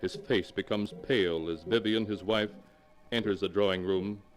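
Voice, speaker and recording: A man narrating a 1940s radio mystery drama, slow, ominous and theatrical. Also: on the low side, fairly even intonation, big-room sound, faint music behind. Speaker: narrator, keeper of the book.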